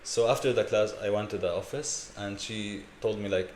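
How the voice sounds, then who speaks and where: A young man in his twenties from Lebanon with a Lebanese accent, telling his story as an interview guest in a relaxed way with fillers. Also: lowish voice, moderate pace, reverberant, slight background noise. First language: Arabic. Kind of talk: casual interview.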